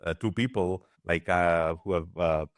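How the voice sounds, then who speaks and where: A man hosting an interview, asking halting questions with many fillers. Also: low voice, no room sound, clean studio sound. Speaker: podcast host.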